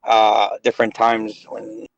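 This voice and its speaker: A man's voice: fairly low pitch, completely flat delivery, takes it slowly, no echo, muffled recording. A man in his late thirties chatting casually on a voice call, rambling and hesitant with many fillers. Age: 30s. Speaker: gaming guest. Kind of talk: casual chat.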